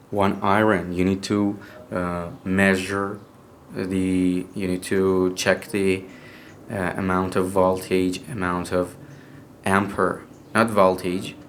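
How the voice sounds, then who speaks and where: A young man from Iran with an Iranian accent, speaking English as a second language with a native Persian background, telling a personal story in a casual, halting way full of restarts. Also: low-pitched, little pitch movement, a little hiss or hum. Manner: halting, hesitant English with many restarts and fillers. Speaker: podcast speaker.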